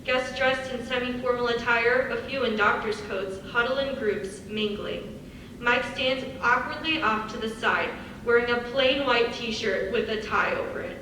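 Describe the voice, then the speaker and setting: A woman's voice: on the high side, unhurried, pushed and bright. A woman reading stage directions as scripted narration. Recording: echoey hall, far from mic, faint noise.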